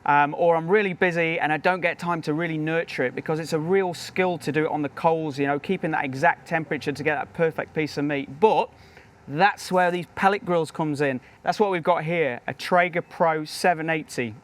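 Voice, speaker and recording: A British man with a fairly high voice talks through a cooking demonstration as he works. He is a professional chef, upbeat and chatty, showing each step at the grill. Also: fairly quick.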